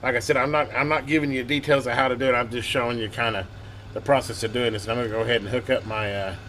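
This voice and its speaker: A man's voice: mid-range voice, steady tone, fast. A man hosting a hands-on electronics repair video, explaining step by step in an informal way as he works. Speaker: host of a hobby electronics repair channel. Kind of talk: casual hands-on demonstration. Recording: clear background noise.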